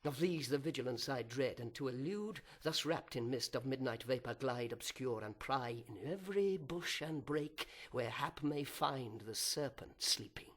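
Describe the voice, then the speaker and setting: A man performing a proud, vengeful fallen angel in an audiobook of epic verse, theatrical and bitter, with drawn-out words and breaths of whisper. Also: mid-range voice, breathy edge. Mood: furtive.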